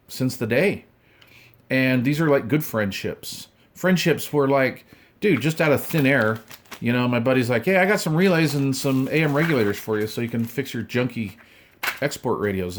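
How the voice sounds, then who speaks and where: A man in his fifties with a mid-pitched voice talks like a hobbyist showing things to friends. His delivery is casual and folksy. He rambles along in loose, informal slang. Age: 50s. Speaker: radio hobbyist vlogger. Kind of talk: casual unboxing chat.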